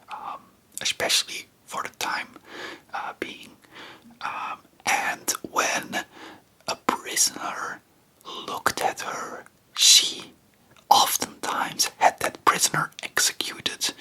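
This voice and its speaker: A person whispering in an informal, rambling ASMR style, breathy and unvoiced, chatting about history close to the microphone. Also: pushed and bright, low-quality recording. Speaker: host of a history ASMR channel.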